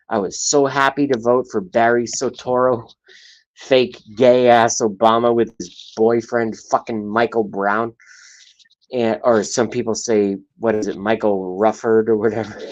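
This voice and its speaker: A man in his late thirties hosting a livestream talk show, rambling and profane, with his loudness swinging up and down. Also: medium pitch, poor audio. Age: 30s.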